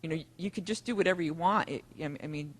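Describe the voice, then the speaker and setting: A man from the conference audience telling his own experience, rambling and halting, often restarting. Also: on the high side. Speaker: audience member. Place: conference room.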